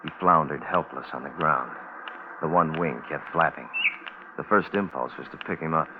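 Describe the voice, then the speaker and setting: An actor playing a terse Navy photographer in a radio suspense drama, with a clipped, grave, measured delivery. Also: male voice, a bass voice. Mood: grave, tense. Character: terse Navy photographer man stranded alone on a bomb-test island. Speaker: lead actor and narrator. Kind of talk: radio suspense drama, first-person log narration. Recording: muffled, noisy vintage radio broadcast recording.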